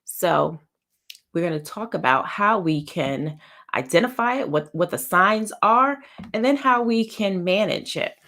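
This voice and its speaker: A woman's voice: mid-range voice, animated, a little slow, a bit bright. A woman therapist hosting a weekly live show, sounding a little nasal from a head cold, chatting casually and greeting viewers by name. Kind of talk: casual live-stream talk.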